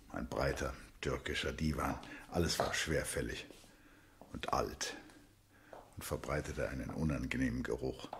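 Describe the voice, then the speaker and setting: Male radio drama narrator, extremely low register, measured literary read, slight hiss. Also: gravelly, a bit bright.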